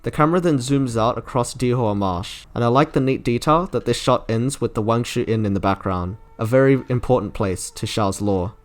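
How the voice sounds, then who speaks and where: A man's voice: medium pitch, normal speed, hardly any pauses. A man delivering scripted, enthusiastic review narration in an articulate, wordy way, like a video essayist. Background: music playing under the narration.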